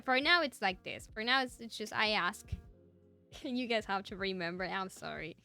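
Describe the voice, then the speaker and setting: Lady streaming, chatty and giggly, bright lively voice, bit of music behind her. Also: medium pitch, moderate pace, creaky. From Argentina. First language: Spanish. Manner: giggly, laughs often between phrases. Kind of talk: casual chat with live chat viewers.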